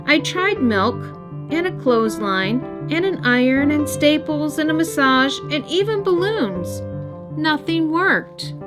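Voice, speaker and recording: A woman's voice: high voice, lively intonation, unhurried, over music, pitched above the performer's own voice. A woman reading a storybook aloud, voicing an earnest, eager young boy. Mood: frustrated.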